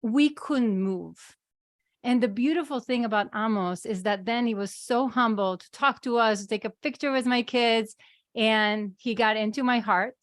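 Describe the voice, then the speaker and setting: A woman's voice: on the high side, moderate pace, no echo, studio quality. A woman hosting an interview show, introducing and questioning her guest in an effusive, enthusiastic way. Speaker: host. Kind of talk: podcast interview.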